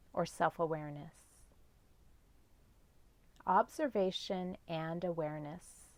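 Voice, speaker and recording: A woman guiding a meditation as a yoga nidra teacher, speaking in gentle, measured instructions with long pauses. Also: lowish voice, no echo. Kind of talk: guided meditation.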